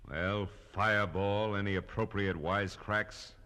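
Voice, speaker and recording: An actor playing a world-weary, hard-boiled private eye, wry and terse, in 1940s radio noir style. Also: male voice, lowish voice, steady tone, a little slow, bright, forceful tone. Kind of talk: 1940s radio noir first-person narration. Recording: vintage radio drama with music behind.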